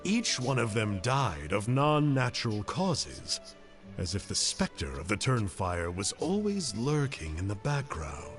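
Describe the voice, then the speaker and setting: A man voicing a calm, bookish young hunter in a video game, reading old records aloud in a measured way. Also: lowish voice. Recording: game audio with clear music behind.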